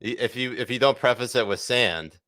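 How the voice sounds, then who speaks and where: A man's voice: mid-range voice, fairly quick, bright, forceful tone, dry recording, studio quality. A man co-hosting a podcast, chatting casually and irreverently about the news with crude jokes. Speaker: podcast co-host. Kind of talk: casual comedic news chat.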